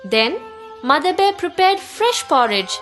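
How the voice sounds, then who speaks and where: A woman narrating a scripted children's fairy tale as a storyteller, in a deliberate, expressive way. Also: very high-pitched, faint music behind.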